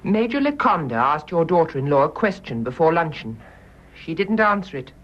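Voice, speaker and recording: Radio drama: censorious nurse, stiff manner, husky tone, dull recording. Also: female voice, low voice, big pitch swings, normal speed. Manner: stiff, severe. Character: stern, accusing, censorious private nurse. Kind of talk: British radio drama acting.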